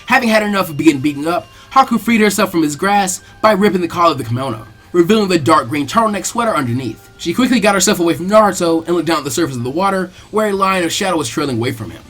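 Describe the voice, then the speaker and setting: A man's voice: high-pitched, animated, fast, few pauses, fairly bright tone. Man narrating a story over music. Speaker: fan fiction reader and narrator. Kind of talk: dramatic audiobook-style narration. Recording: music behind the voice.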